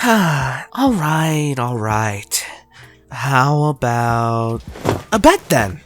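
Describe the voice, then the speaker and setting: A woman's voice: a bass voice, very animated, very slow, over music, voice pushed down, full character voice. A woman voicing a cheerful, teasing teenage boy sorcerer in a fan audio drama. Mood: playful. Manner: playful, teasing.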